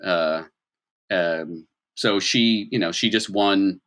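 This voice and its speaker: Male game master, bright and forward voice on the lower side, animated improvised tabletop narration. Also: slow pace. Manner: animated explainer, acts out sounds and examples.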